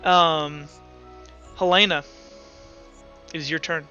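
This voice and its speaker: A man narrating a tabletop roleplaying game as game master in an animated, casual, profane way. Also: on the high side, slow, lo-fi sound, soft background music.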